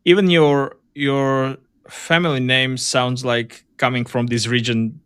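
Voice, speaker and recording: A man hosting a casual interview, speaking haltingly as he searches for words. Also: medium pitch, flat delivery, a bit bright, smooth, no room sound, studio quality. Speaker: podcast host, interviewer.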